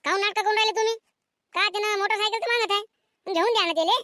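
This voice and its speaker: Performer playing indulgent cartoon mother, shrill and sharp, fast-talking, scolding, rural Maharashtrian Marathi accent. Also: no echo, clean studio sound. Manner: scolding husband, coddling son. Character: doting, indulgent, quarrelsome village mother. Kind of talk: comedic cartoon skit dialogue.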